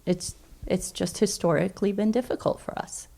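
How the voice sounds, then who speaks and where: A woman's voice: mid-range voice, normal speed, gravelly, dark tone. A middle-aged woman speaking as a guest in a conversational interview, chatty and explanatory, repeating phrases. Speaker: dentist, podcast guest. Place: dining room at home.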